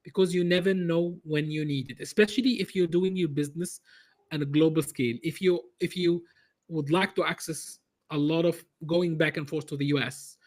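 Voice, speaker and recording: A man answering interview questions in a halting way, with many restarts and repeated words. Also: a little high, fairly even intonation. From Egypt. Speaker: business consultant guest.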